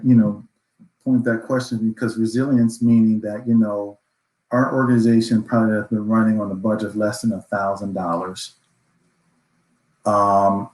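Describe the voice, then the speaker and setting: A man's voice: lowish voice, a little mellow. A man speaking as a panelist, conversational, earnest and emphatic. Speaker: organization leader speaking as a panelist. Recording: roomy, echoing sound.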